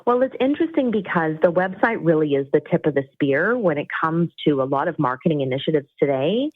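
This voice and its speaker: Steady explanatory woman, business guest, thin phone-quality audio, no room sound. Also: sits low, no echo. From Costa Rica. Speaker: web agency founder. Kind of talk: interview answers. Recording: tinny phone-like line, dry.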